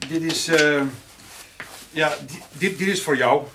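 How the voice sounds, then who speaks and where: Radio drama: awkward, devoted farmer son, fairly high, halting delivery, faint background noise. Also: male voice. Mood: bashful, eager. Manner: halting, bashful. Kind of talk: radio play acting.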